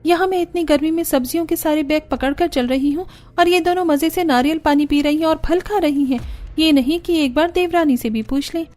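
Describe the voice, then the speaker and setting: A woman's voice: sits high, fairly quick, a little mellow, voice pushed up, close to an everyday voice. A woman voicing an earnest, put-upon young daughter-in-law in a cartoon family drama, polite and plaintive. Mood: plaintive, weary.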